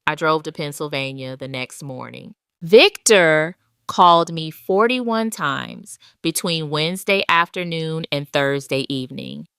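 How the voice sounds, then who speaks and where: A woman reads a scripted, dramatic first-person story. Her voice is low and very smooth, with measured, deliberate delivery. The recording is close and dry. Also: bright, forceful tone, no room sound. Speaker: audio story narrator.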